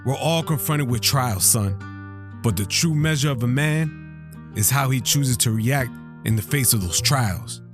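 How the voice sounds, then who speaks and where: Proud, tender middle-aged dad played by a man, medicated yet earnest and deliberate, audio drama, guitar clearly behind. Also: medium pitch, animated, close to an everyday voice. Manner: earnest, deliberate advice-giving. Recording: guitar music under the voice.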